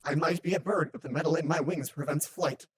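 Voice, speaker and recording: A performer voicing a formal, literal-minded male robot bird in a visual novel game, stilted and deadpan. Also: medium pitch, fairly quick, slightly rough, lightly acted. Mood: matter-of-fact.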